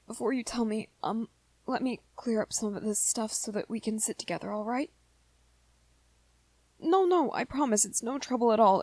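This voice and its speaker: Woman playing young male vampire boyfriend, ASMR roleplay, soft-spoken, anxious, hesitant, unhurried pace, dry intimate sound. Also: on the high side, no room sound. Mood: anxious, tender, then flustered, apologetic. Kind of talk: ASMR roleplay, scripted one-sided dialogue to listener.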